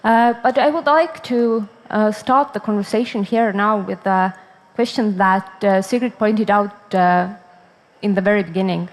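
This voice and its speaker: A woman with a mid-pitched voice moderates a conference panel. She speaks haltingly, with frequent pauses and uhs between phrases. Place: conference stage.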